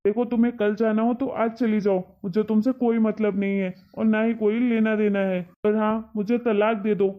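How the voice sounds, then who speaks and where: Woman playing impatient young husband, cartoon family drama, curt complaining tone, mid-range female pitch, dull recording. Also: level tone, moderate pace, few pauses, lightly acted. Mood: angry. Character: irritated, impatient young married son fed up with his wife. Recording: dull lo-fi sound.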